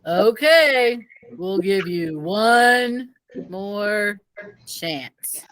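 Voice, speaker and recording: A woman's voice: mid-range voice, animated, drawn-out words, a bit bright, low-quality recording. A woman playing a doubtful adman in a children's storybook, explaining and goading. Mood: reluctant. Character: hesitant, challenging adman dealing with children.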